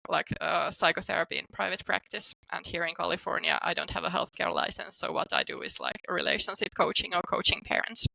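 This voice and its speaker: A woman's voice: on the low side, big pitch swings, a little fast, vocal fry, hardly any pauses, pushed and bright, no echo, poor audio. A woman from Finland with a Finnish accent, talking informally as an interview guest and often saying "like". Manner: informal, peppered with "like" as a hedge. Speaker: guest, psychotherapist and coach. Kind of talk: casual interview talk.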